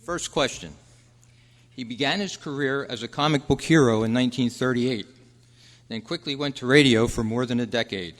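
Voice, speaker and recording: Male quiz host, mid-pitched voice, reading questions aloud at a club meeting, heard with long hall reverb. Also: moderate pace. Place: indoor meeting room at a convention.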